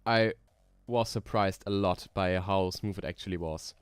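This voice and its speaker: Relaxed German man thinking aloud, lowish voice. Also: moderate pace, clean tone, no room sound. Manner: relaxed, thinks aloud with many ums and small laughs. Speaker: streamer building roller coasters. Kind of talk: casual live stream chat. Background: keyboard typing.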